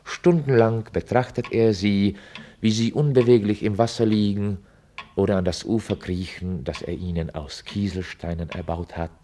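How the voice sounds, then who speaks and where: An actor as a wry, musing male narrator of a radio play, with a husky, mellow voice. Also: fairly low pitch, fairly even intonation, moderate pace, lightly acted.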